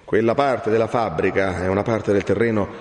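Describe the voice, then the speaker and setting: A man's voice: on the low side, steady tone, brisk, natural voice. A man voicing a solemn, severe old friar with grief in him, grave and admonishing like a preacher, in a dramatised novel reading. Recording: echoey room with long reverb.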